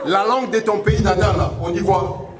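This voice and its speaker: A man's voice: sits high, normal speed. A man hosting a birthday party, teasing the guests in playful banter. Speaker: party host. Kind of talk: joking emcee banter with guests. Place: large indoor hall at a party. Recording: echoey hall, far off, loud crowd.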